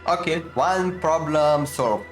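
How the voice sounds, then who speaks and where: A man's voice: fairly high pitch, slow. A man giving laid-back, slangy, amused reaction commentary while playing a game. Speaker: gameplay streamer. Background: game music.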